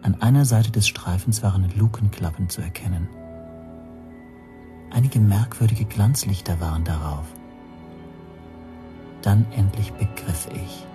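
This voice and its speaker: An actor playing the reflective first-person narrator of a thriller radio play, an accused amateur photographer recalling events in a measured, suspenseful way. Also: male voice, lowish voice, music in the background, close to an everyday voice. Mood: tense recollection.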